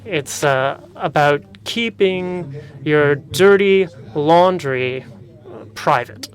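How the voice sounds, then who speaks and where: A man working as a conference interpreter, rendering a speaker's remarks in a formal, steady official tone. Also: fairly high pitch, drawn-out words. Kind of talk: simultaneous interpreting of a panel discussion. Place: conference room with an audience. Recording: conference room with audible crowd.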